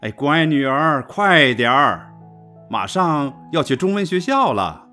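A man's voice: fairly high pitch, big pitch swings, a little slow, bright, forceful tone, exaggerated voice. A man playing a good-natured, grumbling middle-aged professor father in a radio drama, colloquial and exclamatory. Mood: calling, fond. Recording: music under the voice.

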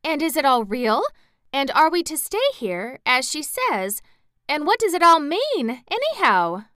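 A woman voicing an elderly farm wife who stammers out high, anxious questions, in a storybook reading. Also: very animated, measured pace, a bit bright, dry recording, studio quality, full character voice. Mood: bewildered.

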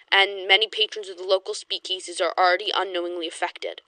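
Casting: woman playing defiant 1920s researcher, horror audio drama. Bright, mid-pitched, blunt, emphatic. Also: no room sound, lightly acted.

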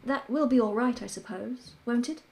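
A woman's voice: on the high side, normal speed, gravelly, a little mellow. A woman doing a prim fussy male professor. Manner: precise, prim, slightly pompous.

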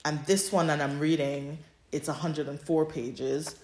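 A woman with a low voice talks casually as a podcast co-host. She retells things in an animated, conversational way. The recording is roomy and echoey. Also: unhurried, a little hiss or hum. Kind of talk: casual chat about fan fiction.

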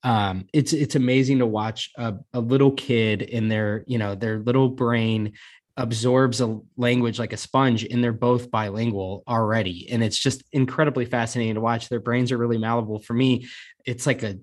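A man's voice: medium pitch, fairly even intonation, moderate pace. A man hosting an interview, asking long, rambling questions and reacting with enthusiasm. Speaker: podcast host, interviewer. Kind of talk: conversational interview.

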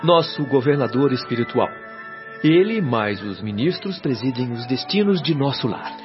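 An actor playing a devoted, solemn resident of a spirit colony, formal and didactic in radio soap style. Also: male voice, medium pitch, animated, moderate pace, lightly acted. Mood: instructive. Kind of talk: Brazilian radio soap acting. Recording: dull radio drama with music behind.

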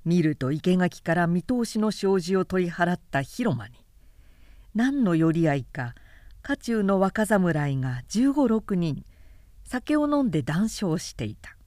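A woman narrator reading a period novel in measured, carefully articulated scripted narration. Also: lowish voice, big pitch swings, a little mellow, no echo, clean studio sound.

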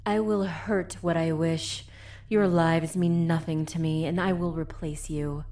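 A woman's voice: low voice, steady tone, a little slow, warm tone. A woman voicing a haughty, threatening spirit queen in an audiobook drama, imperious and condescending. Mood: menacing.

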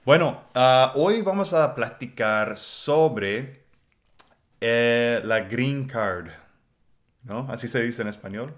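A man with an American accent hosting a casual podcast in Spanish, relaxed and quick to laugh. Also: mid-range voice. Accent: American English. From United States. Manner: relaxed, unhurried, laughs easily. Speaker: podcast host. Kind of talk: casual chat.

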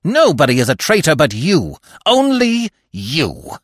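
A man voicing a storybook fox, bitter and contemptuous, hissing and growling slow, drawn-out accusations with restraint. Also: fairly high pitch, huge pitch swings, dry recording, clean studio sound, pitched above the performer's own voice, heavily acted. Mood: embittered accusation.

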